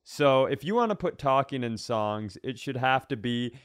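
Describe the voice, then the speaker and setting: Smooth-voiced man riffing, close and dry. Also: medium pitch, lively intonation, moderate pace, no room sound. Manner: mock-outraged, sweary, emphatic. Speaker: comedy podcast co-host. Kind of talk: casual comedic banter.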